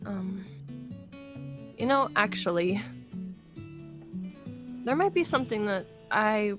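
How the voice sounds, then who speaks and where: A woman playing a hesitant, hopeful young apocalypse survivor in an improvised game roleplay, speaking haltingly and trailing off. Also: medium pitch, animated, music in the background. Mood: hopeful, tentative.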